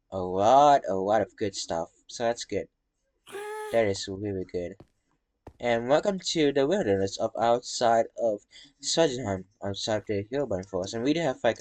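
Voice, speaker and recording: A young man from Indonesia narrating a tour of his game world in a halting, rambling way, tired from talking. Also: fairly low pitch, darkish tone. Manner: halting, rambling, many fillers and restarts. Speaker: Minecraft world tour vlogger. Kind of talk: casual gameplay walkthrough narration.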